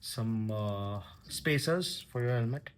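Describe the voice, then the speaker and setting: A man giving casual, halting commentary as a vlogger unboxing a product. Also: mid-range voice, lively intonation, slight background noise.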